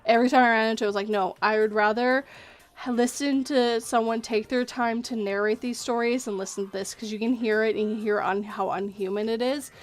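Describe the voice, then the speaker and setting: A woman's voice: fairly high pitch, steady tone, brisk. A woman who narrates horror stories, chatting casually and speaking her mind with lots of restarts. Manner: candid, opinionated, lots of restarts. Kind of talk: casual livestream chat.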